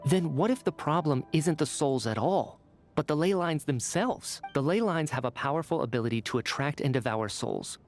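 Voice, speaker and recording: An actor playing a calm, analytical young intellectual in a video game, reasoning deliberately like a detective. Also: male voice, on the high side, soft background music, lightly acted. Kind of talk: scripted game dialogue.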